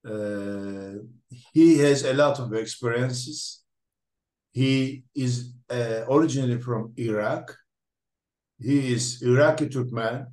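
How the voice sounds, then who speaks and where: Man, interview host, Turkish native speaking English, mid-pitched, slow, many ums, echoey room. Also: a little mellow. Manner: slow, hesitant, many fillers and restarts. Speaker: interview host, lab researcher. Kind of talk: halting interview introduction.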